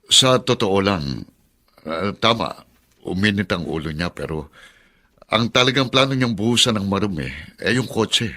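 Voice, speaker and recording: A man's voice: on the low side, lively intonation, pushed and bright. Sorrowful, pleading ex-convict father. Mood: evasive, placating. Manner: halting, pleading, emotional delivery. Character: sorrowful, self-sacrificing middle-aged ex-convict father. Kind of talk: Filipino radio drama acting.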